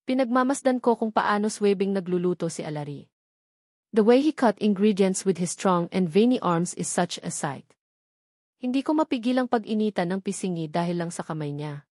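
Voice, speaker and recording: A woman's voice: mid-range voice, expressive, normal speed, darkish tone, no echo, studio quality. A woman reading a scripted romance novel aloud as a first-person narrator. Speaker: audiobook narrator.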